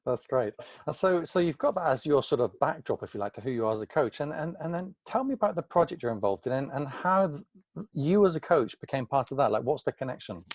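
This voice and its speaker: A man's voice: medium pitch, very animated, fast, dry recording. A man hosting an informal interview, curious and conversational, thinking aloud as he rephrases his questions. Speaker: interviewer, host.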